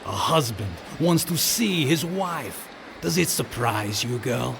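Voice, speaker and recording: A gravelly, low-sounding attendant, speaks with wry familiarity to a noble mistress. It is audio drama, with noisy ambience behind. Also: female voice, expressive, slow pace, heavily acted. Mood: wry, teasing.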